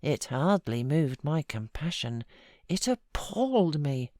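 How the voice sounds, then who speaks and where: Slow-paced British woman narrating. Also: low voice, dry recording. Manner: expressive, measured storytelling. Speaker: audiobook narrator, voiceover artist. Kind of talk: scripted literary narration.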